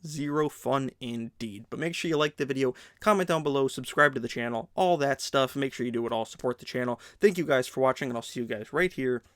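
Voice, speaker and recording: A man's voice: mid-range voice, a little fast, few pauses, fairly bright tone, no echo, clean studio sound. A man hosting a casual movie news show, chatty and opinionated, sounding congested from a sinus infection. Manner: chatty, opinionated, many fillers and restarts. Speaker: host.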